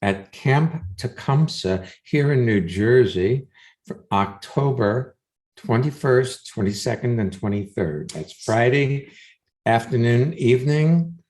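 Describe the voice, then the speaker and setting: Slow, low-key co-host. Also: expressive, darkish tone. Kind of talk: casual radio chat.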